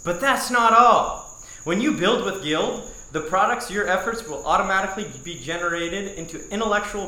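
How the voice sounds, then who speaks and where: A man's voice: fairly high pitch, bright, forceful tone. A young man with an American accent, co-hosting a podcast with casual chat and ad reads in an echoey room. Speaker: podcast co-host. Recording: roomy, echoey sound.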